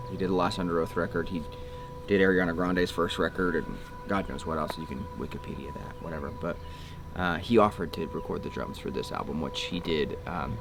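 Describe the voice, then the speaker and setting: A man talking in a casual, rambling, offhand way about recording drums for an album, with music behind him. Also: deep voice. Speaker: record producer and drummer. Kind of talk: casual documentary chat.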